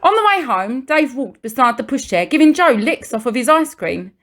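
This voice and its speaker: A woman reading a children's storybook aloud like a primary teacher, expressively, with deliberate pauses and emphasis for young listeners. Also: sits high, very animated, fairly bright tone.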